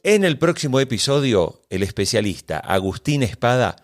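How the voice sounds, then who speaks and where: A man's voice: medium pitch, very animated, normal speed, over loud music. A man hosting a podcast, delivering prepared commentary to listeners. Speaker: podcast host.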